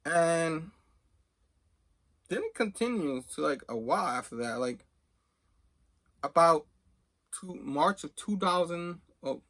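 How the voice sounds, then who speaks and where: Middle aged guy, bright kinda higher voice, rambly casual storytime, does the back and forth dialogue. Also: expressive, takes it slowly, pauses often. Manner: rambling, conversational, often acting out reported dialogue. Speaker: host of a comics collecting video channel. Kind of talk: casual personal storytelling to camera.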